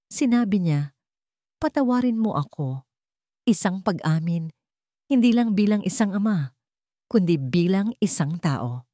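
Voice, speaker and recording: A woman with a Filipino accent narrating an emotional family story in a soft, heartfelt storytelling manner. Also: low voice, dry recording, studio quality.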